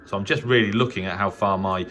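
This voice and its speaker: Deep-voiced Englishman, rambling over background noise. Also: fairly even intonation, fast, a bit bright. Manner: hesitant, understated, rambling delivery with trailing asides. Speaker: amateur radio hobbyist giving a tour. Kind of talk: casual unscripted video tour. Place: indoor home radio shack.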